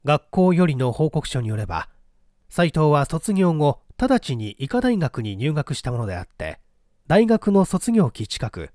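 Male reader for mystery fiction: expressive scripted narration, dry close sound. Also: on the high side, no echo. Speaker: audiobook reader.

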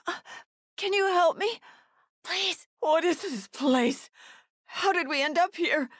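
A woman's voice: very high voice, slow pace, no echo, studio quality. A woman playing a frightened, desperate mother in a survival horror video game, with pleading, gasping delivery. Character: desperate, frightened mother searching for her missing son.

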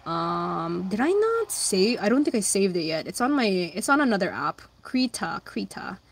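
A young woman chatting casually with her viewers while drawing, answering chat and humming along, her voice slightly hoarse. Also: medium pitch, very animated, normal speed. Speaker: livestreamer drawing anime fan art.

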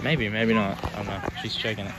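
A man filming a camp game on an open field, giving chatty, excited running commentary. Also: lowish voice, a little fast, heavy background noise. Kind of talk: casual play-by-play commentary. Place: open grassy field outdoors. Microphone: phone camera held by the filmer.

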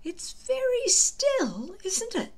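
Woman as an excitable high-voiced Viking boy. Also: takes it slowly, full character voice. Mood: doubtful, worried. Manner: high, emphatic, earnest. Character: excitable, anxious, loyal Viking boy sidekick.

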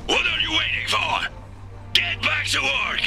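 An actor playing a fierce, defiant middle-aged rebel commander in a video game, gruff, blunt and commanding. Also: male voice, very high voice, a little slow, loud music behind the voice, exaggerated voice. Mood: angry. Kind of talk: dramatic video game voice acting.

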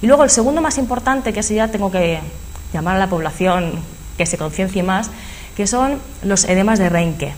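A woman's voice: medium pitch, animated, moderate pace, darkish tone, slight background noise. A woman with a Spanish accent, a speech therapist guest in a TV studio interview, explaining animatedly with many fillers. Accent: Spanish from Spain. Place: local TV studio.

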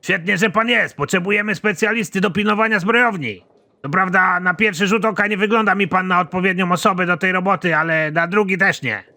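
Male actor as exasperated cartoon general, middle-aged, sharp forceful tone, somewhat high, sardonic and crude. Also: a little fast. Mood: brusque, sardonic. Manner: blunt, sardonic, swearing. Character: foul-mouthed, exasperated army general, middle-aged man, absurdly pompous. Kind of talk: animated comedy series dialogue.

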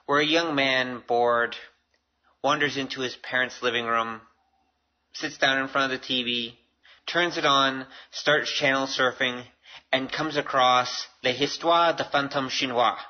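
A man's voice: mid-range voice, fairly even intonation, unhurried, highs cut off. A middle-aged Canadian man hosting a casual solo podcast, chatty and self-deprecating, given to rambling asides. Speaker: podcast host.

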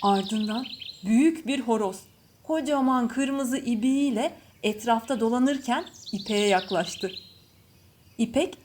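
A woman with a fairly high voice narrates a children's bedtime story. She reads in measured, separate phrases, as a storyteller does. Birds chirp faintly behind her. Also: darkish tone. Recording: faint background noise with birdsong.

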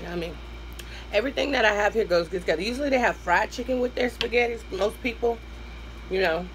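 Hoarse Southern woman, 30s, home eating-show host, chatty and warm with viewers, faint background noise. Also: medium pitch, fast talker, rough recording. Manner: chatty, addresses viewers as y'all, hums while eating. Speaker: mukbang host. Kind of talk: casual eating-show chat. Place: small room at home, indoors.

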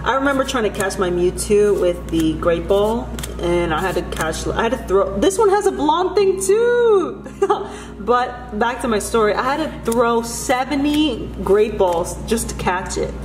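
A young woman with Spanish as her first language chats and jokes while sorting cards, her pitch rising and falling a lot. She sits in an echoey room at home with music playing clearly behind her. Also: big pitch swings, measured pace. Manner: chatty, loses her train of thought, laughs often. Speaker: co-host opening trading card packs. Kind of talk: casual chat while unboxing.

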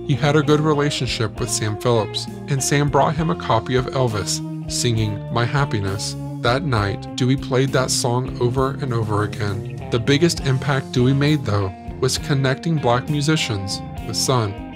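A man's voice: mid-range voice, normal speed, few pauses, over music. A man hosting a podcast, reading scripted narration.

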